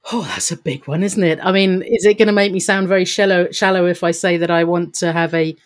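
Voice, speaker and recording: A woman's voice: fairly low pitch, steady tone, fairly quick. A woman talking as a guest in an interview, upbeat and chatty, laughing often and restarting her sentences. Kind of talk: podcast interview answers.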